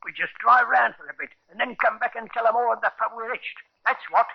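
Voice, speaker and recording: Scheming Victorian rogue, brash, cajoling, high-pitched, muffled old radio drama. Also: male voice, fairly quick, gravelly, husky, heavily acted. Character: scheming, wheedling male Victorian London barber-publican. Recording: muffled 1950s radio recording.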